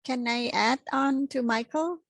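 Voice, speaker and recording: A woman speaking unscripted on a panel, halting and rephrasing as she goes. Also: sits high, gravelly, no echo. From Thailand. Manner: halting, repeats and rephrases words. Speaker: activist panelist.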